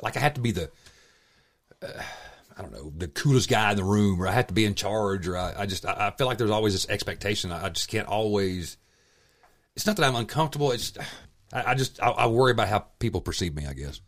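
A man, a radio host, talking in a halting, stammering, self-deprecating way in casual podcast chat. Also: lowish voice, lively intonation, fairly bright tone.

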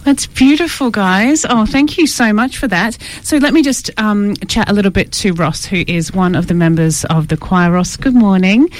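A woman hosts a live radio interview in a studio. She talks in a casual, chatty way and pokes fun at herself. Her voice sits at a medium pitch. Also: moderate pace, hardly any pauses, rough recording. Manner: chatty, self-deprecating. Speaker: radio show host. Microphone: studio microphone.

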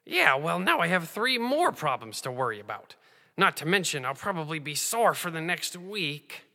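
Man as a grumbling cartoon rabbit, high-pitched, piercingly bright, exasperated, fussy, quaint turns of phrase, reverberant hall sound. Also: very animated, moderate pace, full character voice. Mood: grumbling, sarcastic. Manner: exasperated, scolding, old-fashioned quaint phrasing. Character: fussy, grumbling, anxious talking male rabbit.

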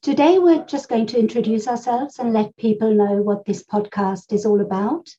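A woman's voice: a little high, steady tone, moderate pace, warm tone. A middle-aged woman from Germany co-hosting a podcast, telling stories in a rambling, chatty way with frequent laughs. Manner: chatty, rambling storyteller who laughs often. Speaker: podcast co-host. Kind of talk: casual conversational podcast chat. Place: home room full of books. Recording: slightly dull, rough audio.

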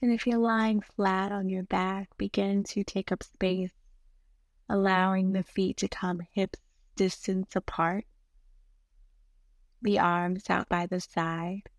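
A woman guiding a meditation in a soothing, gentle manner, giving unhurried instructions with long pauses between short phrases. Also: medium pitch, flat delivery, dry recording. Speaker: meditation guide. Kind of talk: guided meditation narration.

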